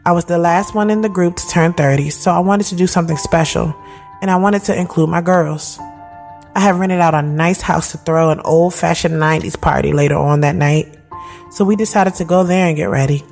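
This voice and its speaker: A woman acting as a reflective thirty-year-old woman narrates her own story in an audio drama. Her voice sits a little low, and she talks in a confiding, slangy, conversational way. Music plays clearly behind her. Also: fairly quick. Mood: fond, reflective. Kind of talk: first-person scripted audio drama narration. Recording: loud music bed behind the voice.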